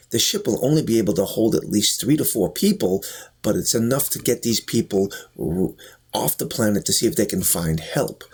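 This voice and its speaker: A man speaks in a husky voice with lively swings of pitch. He sounds like an earnest reviewer, laying out his commentary deliberately and explaining each point as he goes. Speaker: YouTube reviewer. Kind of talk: talk-to-camera review and commentary.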